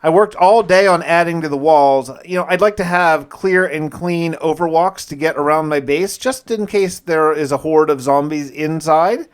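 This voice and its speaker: A man's voice: a little high, normal speed, hardly any pauses, clean tone. A man narrating his own survival game playthrough in a casual, folksy, conversational way, like a video host telling a story in the first person. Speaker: gaming video host and player.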